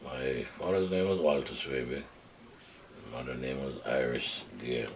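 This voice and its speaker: A Jamaican man in his seventies recalling his village childhood in an oral history interview, unhurried and reflective. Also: very deep voice, slight background noise. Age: 70s. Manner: unhurried, reflective storytelling with hesitations.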